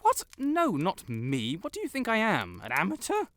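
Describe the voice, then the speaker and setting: A man's voice: high voice, wildly swooping pitch, moderate pace, a bit bright, dry recording, exaggerated voice. A man playing a pompous, melodramatic wizard in an audio roleplay, with flowery, theatrical speech and blurted self-corrections. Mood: boastful, faltering. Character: haughty, melodramatic male wizard, secretly fond tsundere. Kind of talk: scripted audio roleplay to the listener.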